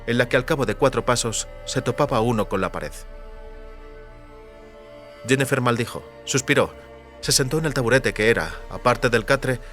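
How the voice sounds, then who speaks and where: A man of medium pitch narrates a fantasy audiobook. His delivery is measured and literary, with faint music running underneath. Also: a bit bright.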